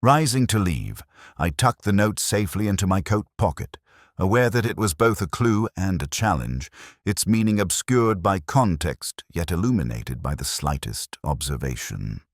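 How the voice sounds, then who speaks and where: A man reading a scripted first-person detective story in a measured, reflective, literary manner. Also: sits low, expressive, no echo, clean studio sound. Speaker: audiobook-style story narrator.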